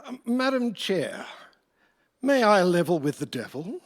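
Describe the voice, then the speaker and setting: An actor as a pompous emperor scheming in Hades for a radio play. His high voice swoops theatrically through unhurried rhymes, echoing in a large hall. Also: sing-song, exaggerated voice. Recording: echoey hall, live stage.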